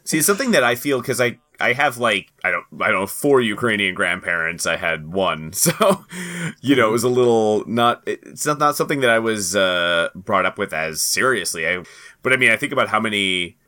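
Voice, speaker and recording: A man's voice: on the low side, lively intonation, moderate pace, bright, forceful tone. A man co-hosting a podcast, talking casually in a hesitant way. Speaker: podcast co-host.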